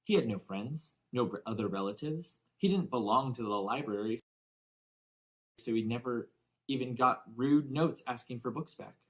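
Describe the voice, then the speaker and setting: A man reading a children's novel aloud in expressive, deliberately phrased storybook narration. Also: medium pitch, low-quality recording. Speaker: audiobook narrator.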